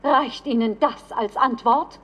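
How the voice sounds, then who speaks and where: A woman's voice: on the high side, takes it slowly, fairly bright tone. A woman playing a haughty film actress in a radio drama, delivering stagy, arch lines on a noisy old film soundtrack. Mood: haughty defiance.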